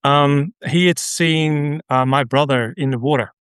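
A middle-aged man from the Netherlands with a Dutch accent, a radio guest telling a personal story in an earnest, halting way with many restarts. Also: on the high side, fairly bright tone, clear, resonant tone, dry recording. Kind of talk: conversational interview.

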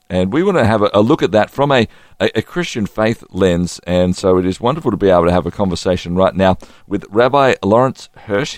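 Low-voiced man hosting radio. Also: darkish tone, dry recording, studio quality. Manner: halting, repeating words as he phrases questions. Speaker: radio host. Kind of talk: conversational radio interview.

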